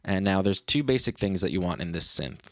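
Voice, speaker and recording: A man's voice: low-pitched, expressive, brisk, no echo. A man hosting a casual livestream tutorial as a music producer, talking in a laid-back, slangy way into a studio microphone.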